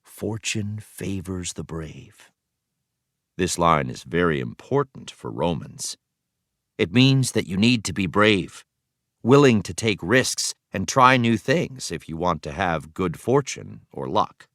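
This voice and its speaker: A man reading an educational text aloud as a teacher, with expressive storytelling emphasis. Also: sits low, slow pace, dry recording, clean studio sound. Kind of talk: scripted educational read-aloud narration.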